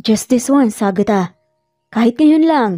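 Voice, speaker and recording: A woman's voice: a little high, animated, a little slow, dark tone, no echo, studio quality, soft background music. A woman with a Filipino accent voicing an earnest, insistent man pleading with his lost love in a narrated romance.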